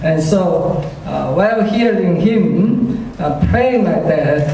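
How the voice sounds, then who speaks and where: Slow, high-voiced man in echoey hall. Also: animated, dark tone, slight background noise. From China. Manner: hesitant, many fillers, chuckles often, self-deprecating. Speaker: speaker giving a personal faith testimony. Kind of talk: church testimony to a congregation. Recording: echoey hall, speaker far from mic.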